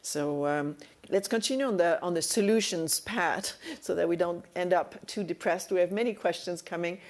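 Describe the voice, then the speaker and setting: Low-voiced woman moderating a panel. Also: normal speed. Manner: thoughtful, many fillers and restarts. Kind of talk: conference panel discussion.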